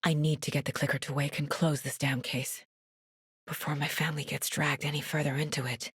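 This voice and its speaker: A determined female FBI investigator in a video game. Her voice is slightly low, husky and breathy, recorded very dry and close. She speaks with understated focus and a dry wit, as if working through a case. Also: flat delivery, brisk, a bit bright, no room sound, full character voice. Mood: worried, insistent. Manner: focused, understated, often muttering to herself. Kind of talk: naturalistic video game dialogue.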